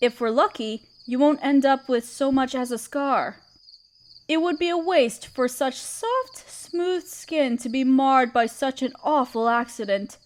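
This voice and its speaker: A woman playing a regal, tender spider queen in an audio roleplay, speaking in a gentle, soothing and slightly formal way. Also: high-pitched, a little slow. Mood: motherly, soothing. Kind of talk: scripted roleplay audio drama. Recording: crickets chirping behind the voice. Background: crickets and night insects.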